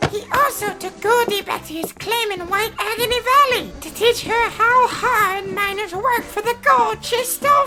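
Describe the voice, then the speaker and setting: An actor voicing an excitable young cartoon duck nephew who tells stories earnestly and eagerly in a fan comic dub. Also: extremely high pitch. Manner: earnest, enthusiastic storytelling.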